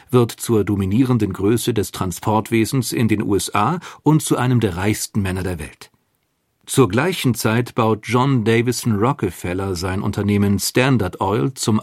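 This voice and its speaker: A man narrating a history documentary in a calm, even, scripted way. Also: fairly low pitch, dry recording, studio quality.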